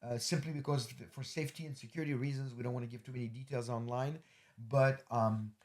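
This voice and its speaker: A man's voice: mid-range voice, steady tone, fast, darkish tone. A middle-aged man hosting a ministry podcast, closing an interview with rambling, filler-heavy but earnest appeals. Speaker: host, ministry leader.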